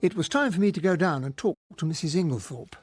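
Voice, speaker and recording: A well-spoken English gentleman officer tells his story over music. His voice is fairly high for a man and slightly husky, a wistful radio drama narration. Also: expressive, fast talker. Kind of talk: scripted first-person radio drama narration.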